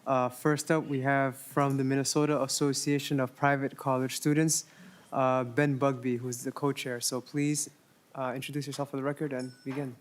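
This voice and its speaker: A man's voice: medium pitch, normal speed. A man chairing a legislative committee hearing, calling up witnesses in a procedural manner. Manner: flat, procedural.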